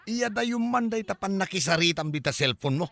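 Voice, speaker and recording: A man's voice: high-pitched, lively intonation, brisk, gravelly, fairly bright tone, soft background music, exaggerated voice. An actor with a Filipino accent playing a grumpy, nagging man in a family comedy drama, grumbling and exclaiming. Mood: annoyed. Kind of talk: comedy audio drama acting.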